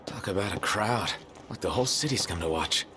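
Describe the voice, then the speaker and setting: An actor playing a laconic young prince, speaking in terse, casual, understated lines in a video game. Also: male voice, low voice, animated, moderate pace, sharp tone. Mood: dry, low-key. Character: laconic, low-key young prince on a mission.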